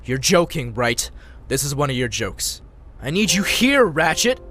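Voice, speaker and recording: A man's voice: on the high side, big pitch swings, measured pace, a bit bright, exaggerated voice. A man voicing an anxious, fussy robot official who is easily rattled, in a motion comic. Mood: panicked, pleading. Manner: fussy, nervous. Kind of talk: animated motion-comic dialogue.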